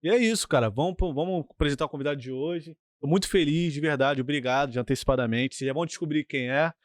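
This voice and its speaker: Cheerful Brazilian male co-host, higher voice, dry close sound, eager and jokey. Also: quick pace, no room sound. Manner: enthusiastic, laughing, teasing. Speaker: podcast co-host. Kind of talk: casual chat.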